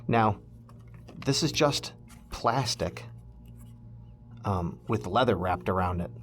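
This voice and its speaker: A man casually walking viewers through a hands-on DIY project, thinking aloud in an informal way as he goes. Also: lowish voice. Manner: informal, thinking aloud while handling parts. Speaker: host of a DIY electronics project video.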